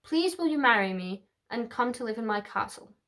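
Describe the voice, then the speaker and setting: Gallant young prince, read by a woman, gentle, reassuring, storybook. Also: a little high, animated, normal speed. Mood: tender.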